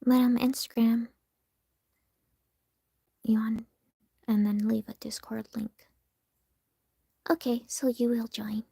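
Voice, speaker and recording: A woman with a breathy, gravelly, half-whispered voice chats with her live-stream viewers while she draws, candid and easygoing. Her tone is mellow and soft rather than bright, and the sound is close and dry, caught on her phone. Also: fairly high pitch, level tone, slow, long pauses, dry recording. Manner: chatty and candid, talks while working. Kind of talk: casual livestream chat answering viewers.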